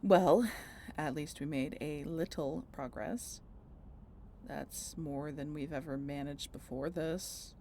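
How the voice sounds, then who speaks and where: A woman playing a guarded, sardonic century-old vampire in an intimate audio roleplay, halting and casually profane as she talks to the listener. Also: deep voice, dark tone, a little hiss or hum. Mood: wry, brusque.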